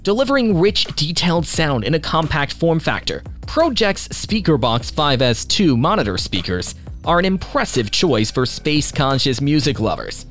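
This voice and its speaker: Lively man narrating scripted product reviews. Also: fairly high pitch, moderate pace, faint music behind. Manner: polished promotional delivery.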